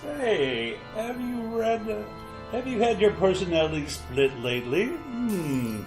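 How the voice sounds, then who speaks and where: A man's voice: high voice, sing-song, unhurried, music in the background. A man playing a macabre, wisecracking host of an old-time radio horror show, sly and teasing. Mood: sly, gleeful.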